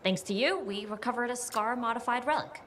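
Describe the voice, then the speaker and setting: An actress playing a practical, plain-spoken woman who hands out contracts in a video game, brisk and businesslike. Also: a little high, fairly bright tone, a little hiss or hum, close to an everyday voice. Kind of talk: video game character dialogue.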